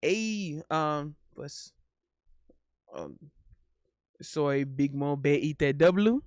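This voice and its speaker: A man's voice: on the high side, drawn-out words, no room sound, clean studio sound. A man from Miami with Haitian family, native English speaker, hosting a casual livestream and chatting with hesitant ums, switching between Spanish and English. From born in Miami, Haitian family. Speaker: livestream host and singer.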